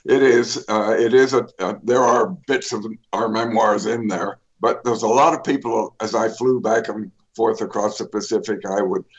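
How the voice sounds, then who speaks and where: An older man, an author, telling his life story in a radio interview, pausing often with fillers and restarts. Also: on the low side, steady tone, muffled recording. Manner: rambling, many fillers and restarts. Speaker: author guest.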